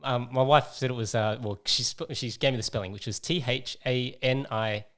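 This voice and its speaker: A man hosts a relaxed podcast conversation at a middle pitch. He rambles, circles back and repeats words as he thinks aloud. His tone is casual and chatty. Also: normal speed. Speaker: podcast host. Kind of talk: casual interview chat.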